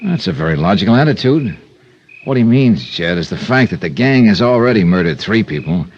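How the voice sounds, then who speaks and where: An actor playing a cool, wry hard-boiled American investigator, dry and laconic, in a 1950s radio detective drama. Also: male voice, on the low side, sing-song, brisk, slightly rough, heavily acted. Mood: calm, goading. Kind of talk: 1950s radio detective acting and first-person narration. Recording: dull, noisy old radio recording.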